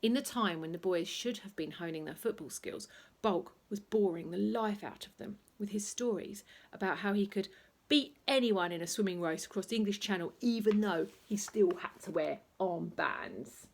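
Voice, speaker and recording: A woman reading a children's bedtime story aloud in an expressive, playful way, acting out noises as she goes. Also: mid-range voice, normal speed, creaky. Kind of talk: animated bedtime story reading with sound effects and asides.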